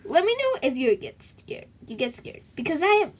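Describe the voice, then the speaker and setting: A woman reading a children's book aloud in an expressive, dramatic way, with chatty asides to her young listeners. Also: high voice, normal speed.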